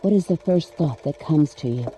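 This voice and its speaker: An actress playing a calm female hypnotist in a dramatic video game, giving measured, clinical commands to a patient. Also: sits low, a little hiss or hum. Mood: soothing, commanding.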